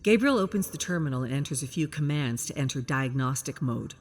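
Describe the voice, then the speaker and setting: A woman's voice: extremely low pitch, lively intonation, a little fast, a little hiss or hum. A woman reading a scripted story as a dramatic, suspenseful narrator. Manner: present-tense suspenseful storytelling.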